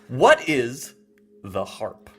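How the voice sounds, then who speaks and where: A man's voice: medium pitch, wildly swooping pitch, extremely slow, slightly rough, soft background music, heavily acted. A man playing a playful, pedantic xenobiologist in an audio drama, teasing and quizzing in a precise, professorial manner. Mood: pedantic, encouraging.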